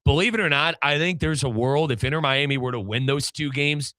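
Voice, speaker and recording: A man's voice: on the high side, a little fast, pushed and bright, no echo. An American man hosting a sports show, talking soccer in a casual, chatty, slangy way. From United States. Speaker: sports podcast host and play-by-play commentator. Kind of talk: casual, animated soccer discussion.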